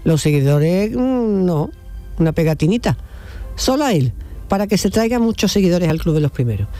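A woman radio host from Castilla-La Mancha, talking with a mellow, rounded tone. She banters easily with listeners on a live morning show, quick and playful. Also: on the low side, sing-song, soft background music.

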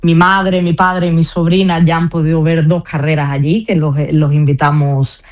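A woman's voice: sits low, level tone, moderate pace, a little mellow, muffled recording. A young woman with a slight Andalusian Spanish accent tinged with Italian, speaking as a team press officer in a radio interview, chatty and full of fillers and restarts. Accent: Andalusian Spanish mixed with Italian. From Spain.

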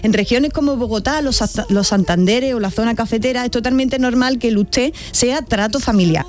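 A woman's voice: on the high side, fairly quick. A middle-aged woman with an Andalusian accent, enthusiastically explaining language on a radio talk show and laughing often. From eastern Andalusia, Spain.

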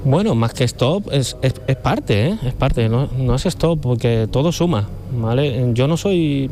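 Middle-aged guy from cádiz, warm dark voice, rambles on easy, circles back a lot. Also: medium pitch, lively intonation, measured pace, a little hiss or hum. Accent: Cádiz Andalusian Spanish. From Cádiz, Spain. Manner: rambling, loose talker who often circles back. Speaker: painter, interview guest. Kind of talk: relaxed conversational interview.

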